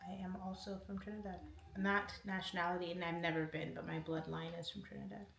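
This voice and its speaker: Woman livestream host, relaxed chatty tone, roomy echo, faint background hiss. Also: fairly low pitch, fairly quick, gravelly. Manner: reads out viewer comments and answers each one. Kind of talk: casual livestream chat. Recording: echoey room, faint noise.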